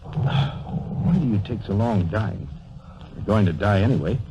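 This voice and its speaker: A man's voice: fairly low pitch, expressive, measured pace, noisy background. An actor playing a loyal, remorseful soldier comforting his comrade in a 1930s radio drama. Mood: pleading, remorseful. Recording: dull, lo-fi 1930s radio broadcast.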